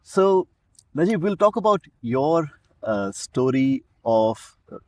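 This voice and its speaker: A man's voice: medium pitch, big pitch swings, slow pace. A man hosting a podcast, opening with a scripted welcome in an announcer's voice and then asking chatty questions. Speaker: podcast host. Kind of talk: scripted intro, then casual interviewing.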